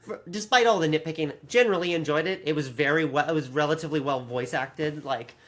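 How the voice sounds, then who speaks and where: A podcast co-host chatting casually through a review, animated and sweary, sometimes slipping into mock voices to quote people. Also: medium pitch, fast talker.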